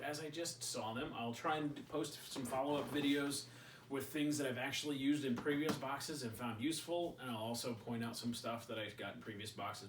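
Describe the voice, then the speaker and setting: A man's voice: medium pitch, slight background noise. Guy rambling thru a gear review at home, jokey, mic kinda far, roomy. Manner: rambling and jokey, thinking aloud. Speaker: channel host. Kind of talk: casual product review. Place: indoors at home.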